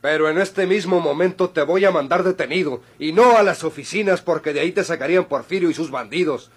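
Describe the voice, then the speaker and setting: A stern, accusing Mexican lawman in a vintage radio drama. The voice is fairly high and husky, with theatrical authority. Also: fairly quick. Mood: accusing, indignant. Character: stern, accusing male lawyer and police chief. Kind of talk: old radio drama acting.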